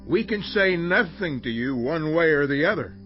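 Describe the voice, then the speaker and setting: An actor playing a hospitable, eager brother of a young bride in a dramatized audio Bible. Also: male voice, fairly high pitch, lively intonation, normal speed, close to an everyday voice. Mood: solemn. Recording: dull lo-fi audio, music behind.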